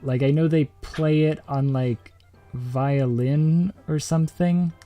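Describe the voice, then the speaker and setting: A man with a mellow, dark voice chats on a game night stream. He pauses often and hunts for words, and soft music plays underneath. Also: on the high side, fairly even intonation. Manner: hesitant, searching for words. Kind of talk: casual chat among friends.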